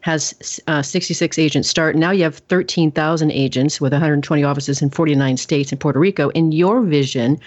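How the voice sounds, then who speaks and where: A woman's voice: low-pitched, fairly quick, hardly any pauses, darkish tone, lo-fi sound. A woman hosting an interview, effusive and full of praise for her guest. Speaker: podcast host interviewer. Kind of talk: enthusiastic interview.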